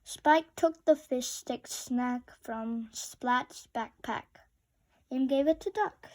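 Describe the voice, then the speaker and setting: High-pitched woman reading a children's storybook. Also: slow. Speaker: storybook reader. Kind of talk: children's picture-book read-aloud.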